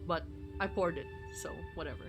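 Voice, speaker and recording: A woman's voice: lowish voice, brisk. A woman livestreamer who reads a story game aloud and chats casually, with informal, wry commentary. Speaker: streamer reading a visual novel aloud. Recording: music behind the voice.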